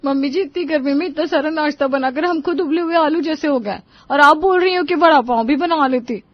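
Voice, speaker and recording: A woman's voice: high-pitched, fairly quick, no echo, dull audio, lightly acted. Woman voicing an outspoken complaining young daughter-in-law, dry sound. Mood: protesting. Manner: complaining, argumentative. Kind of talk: Hindi cartoon story voice acting.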